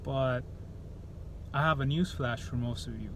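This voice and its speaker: A man's voice: mid-range voice, a little slow, vocal fry, background noise. A man from El Salvador talking straight to camera in an opinionated vlog, blunt and profane, often restarting his sentences. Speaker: YouTuber.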